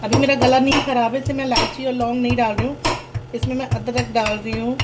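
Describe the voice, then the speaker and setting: A woman's voice: on the high side, level tone, quick pace, very noisy. A young woman chatting casually to viewers while cooking in her home kitchen, explaining each step as she goes. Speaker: vlogger. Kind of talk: casual cooking vlog chat.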